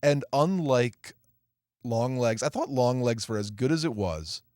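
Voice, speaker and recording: A man co-hosting a movie review podcast with wry, theatrical jokes. His voice sits at a middle pitch. The mic sound is close and dry, with no room sound. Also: lively intonation, a little slow, a little mellow, dry recording. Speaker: podcast co-host. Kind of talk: casual movie review chat.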